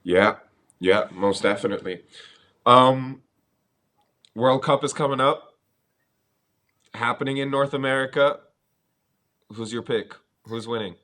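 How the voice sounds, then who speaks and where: A man's voice: medium pitch, measured pace, long pauses, sharp tone. A man who grew up in Bangladesh, hosting a casual interview with an eager, chatty manner and frequent laughs. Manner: chatty, enthusiastic, calls guest "bro", laughs often. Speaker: interviewer, host.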